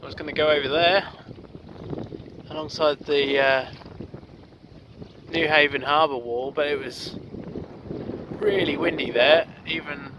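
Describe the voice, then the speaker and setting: A man narrating his solo sailing trip in a relaxed, hesitant way with plenty of fillers. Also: on the high side, measured pace, long pauses. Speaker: solo sailor vlogging. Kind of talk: casual vlog narration. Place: aboard a small sailing yacht at sea. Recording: loud wind noise on the mic. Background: wind and boat noise.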